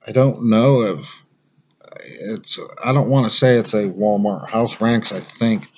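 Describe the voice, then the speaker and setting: A man giving a casual product review in a plain-spoken, halting, conversational way. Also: lowish voice, a little mellow, highs cut off.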